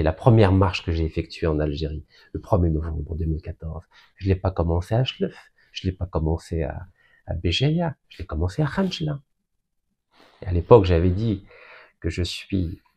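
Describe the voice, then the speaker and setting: A man from Algeria giving an emphatic, deliberate public speech that builds long lists of points. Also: low voice, vocal fry, mellow, husky. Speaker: political speaker.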